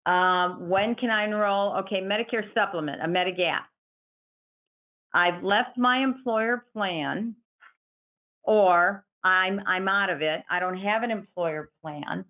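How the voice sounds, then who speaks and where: A woman's voice: mid-range voice, slow. A woman, an insurance advisor, patiently explaining Medicare options in an interview and quoting her clients. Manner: patient, explanatory, quotes clients in their own words. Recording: tinny phone-like line.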